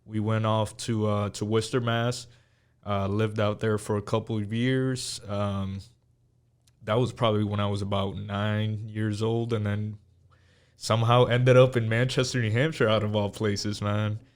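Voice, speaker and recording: A man's voice: fairly low pitch, level tone, measured pace, smooth. A man in his thirties from Puerto Rico, a guest talking in a laid-back, matter-of-fact way. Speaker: guest, Marine veteran. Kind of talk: casual interview chat.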